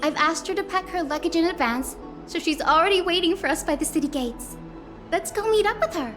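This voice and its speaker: An actress playing a sweet, friendly, eager young girl in a video game, with a gentle manner. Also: extremely high pitch, animated, brisk, soft background music. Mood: cheerful, eager. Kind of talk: scripted video game dialogue.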